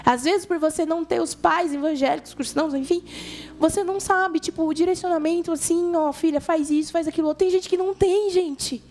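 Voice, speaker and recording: A woman's voice: very high voice, fairly even intonation, brisk, darkish tone, slight background noise. A woman with a Brazilian accent preaching informally to young people, telling stories in colloquial language and speaking directly to her listeners. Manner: colloquial, conversational, addresses listeners directly, acts out dialogue. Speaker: youth church preacher. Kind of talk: informal youth sermon with storytelling.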